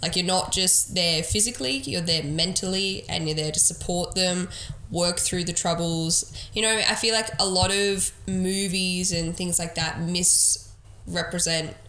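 Bright, bubbly woman podcaster. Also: on the low side, measured pace. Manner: bubbly, giggly, chatty. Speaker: podcast host. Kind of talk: casual podcast chat. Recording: roomy echo, faint background noise.

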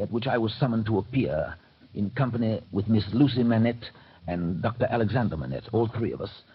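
An actor playing a grave gentleman narrator in a mid-century radio drama, telling the story in measured literary style. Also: lowish voice, close to an everyday voice. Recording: muffled, noisy old radio broadcast.

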